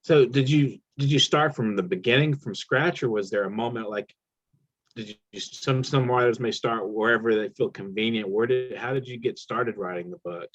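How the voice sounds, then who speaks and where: A man hosting an interview, asking questions with many halting restarts. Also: mid-range voice, fairly bright tone, muffled recording. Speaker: host.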